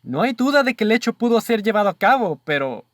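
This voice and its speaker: A man's voice: high voice, very animated, fast, a bit bright, no room sound, clean studio sound. An actor playing a doubtful male companion in a radio drama, questioning the case in a formal, earnest way. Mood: reasoning.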